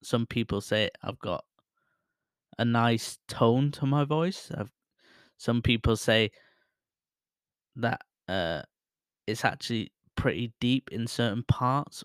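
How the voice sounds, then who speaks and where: A man's voice: medium pitch, slow pace, no room sound. A man casually reviewing a microphone he is testing, rambling and self-deprecating, laughing at himself, speaking close into a studio mic at his desk. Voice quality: voice others call soothing. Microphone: close studio microphone with headphones.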